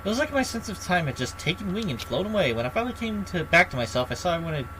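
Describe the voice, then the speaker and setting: A man's voice: high voice, big pitch swings, racing, background noise. A man reading a story game aloud on a live playthrough, dropping in casual, irreverent, sweary commentary. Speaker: streamer reading a visual novel aloud. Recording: loud game music behind. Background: video game music.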